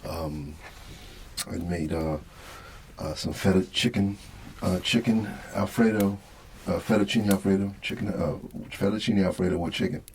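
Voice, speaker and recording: A middle-aged man hosting an ASMR video, rambling casually and softly with a hesitant delivery. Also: sits low, moderate pace, slight background noise. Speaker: ASMR channel host.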